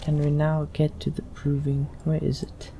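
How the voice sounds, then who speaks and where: Man with a creaky, gravelly voice. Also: fairly high pitch, completely flat delivery, normal speed, background noise. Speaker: let's play host role-playing a character. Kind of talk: casual gameplay commentary with read-out role-play lines.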